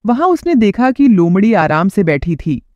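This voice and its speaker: Children's cartoon narrator, animated pitch, warm mellow tone, unhurried. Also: no echo, dull audio.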